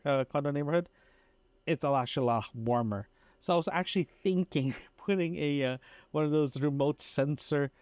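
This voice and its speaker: A man talks through a casual audio diary in a dry, close recording. His delivery is halting and meandering, circling back over phrases in an unpolished, conversational way. The pitch is average for a man. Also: darkish tone, dry recording. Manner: halting, repetitive, self-interrupting. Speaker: podcast host.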